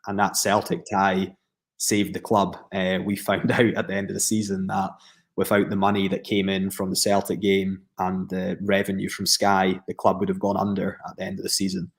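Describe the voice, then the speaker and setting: A Scottish footballer answers at length on a podcast, speaking in a steady, reflective way with many fillers and restarts. His voice sits low and barely moves in pitch. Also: normal speed. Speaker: professional footballer guest.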